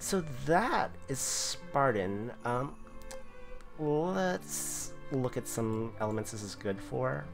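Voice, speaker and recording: A man reviewing a game class in casual video commentary, his voice going hoarse, rambling with lots of fillers. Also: mid-range voice, big pitch swings, takes it slowly, soft background music. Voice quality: gravelly, voice wearing thin. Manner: rambling, filler-heavy explanations. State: losing his voice.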